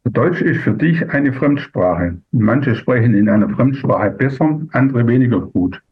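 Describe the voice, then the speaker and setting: A man's voice: medium pitch, lively intonation, measured pace, slightly rough. Man asking questions over a thin phone-like line. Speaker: interviewer. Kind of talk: interview questions.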